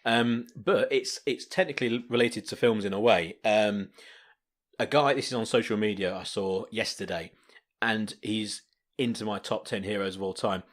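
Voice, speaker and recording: Man, low-pitched, rambling jokey podcast host over drinks. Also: moderate pace. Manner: rambling, self-interrupting, jokey. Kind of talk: casual pub-style chat.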